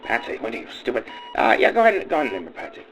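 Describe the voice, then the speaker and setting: A man's voice: medium pitch, huge pitch swings, very fast, a bit bright, poor audio. A man playing a callous, domineering husband and new father in a comedic radio play, stammering and barking his words. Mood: irritated contempt.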